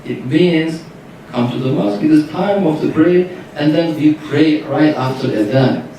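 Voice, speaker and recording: A man with a Turkish accent answering audience questions at a workshop, pausing often to find English words. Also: a little high, mellow, a little hiss or hum. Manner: halting, searching for English words. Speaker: Qur'an reciter. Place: lecture room at a workshop. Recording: echoey room, distant mic.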